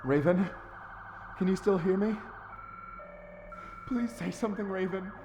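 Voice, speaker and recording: Devoted defiant hero, slow delivery, emotional, audio drama. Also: male voice, high-pitched, background noise. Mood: pleading. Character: devoted, desperate, defiant lover in cyberpunk drama.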